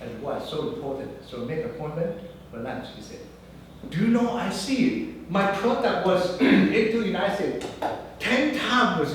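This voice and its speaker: A man whose first language is not English, giving a business talk and acting out the people in his stories. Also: high voice. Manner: animated storyteller acting out dialogue. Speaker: guest speaker. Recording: echoey room, mic far off, faint noise.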